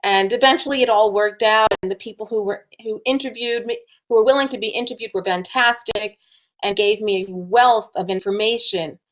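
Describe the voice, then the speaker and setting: A woman's voice: medium pitch, a little fast, highs cut off. A woman researcher on a panel, giving candid, practical advice. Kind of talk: panel discussion.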